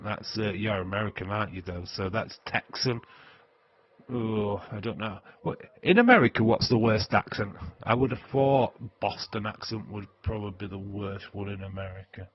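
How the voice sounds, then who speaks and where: Husky-voiced man with a Yorkshire accent. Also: fairly low pitch, lo-fi sound. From England. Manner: rambling, laughs often, many filler sounds. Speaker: livestream host. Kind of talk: casual livestream chat answering viewers.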